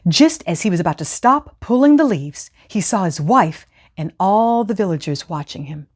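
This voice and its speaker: A woman hosting a children's storytime, speaking in an expressive, teacherly way as she reads and explains. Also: mid-range voice, moderate pace, husky. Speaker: host of a children's read-aloud storytime show. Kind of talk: expressive storybook reading with scripted instructions.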